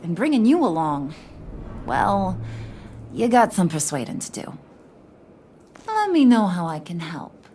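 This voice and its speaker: A woman's voice: lowish voice, very animated, a little slow, pauses often, mellow, slight background noise. A woman playing a brash, kooky, flirtatious crime boss in a video game, with a slangy, teasing New delivery. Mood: brash, playful. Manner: slangy, playful, teasing, wise-cracking.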